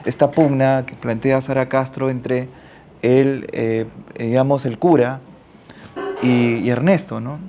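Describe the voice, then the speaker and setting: A man's voice: medium pitch, fairly even intonation, slow pace, mellow, a little hiss or hum. A man from Peru with a Peruvian accent, speaking as a panelist at a literary talk and thinking aloud, often ending phrases with a questioning tag. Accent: Peruvian Spanish. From Ica, Peru. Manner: thinks aloud, adds frequent questioning tags.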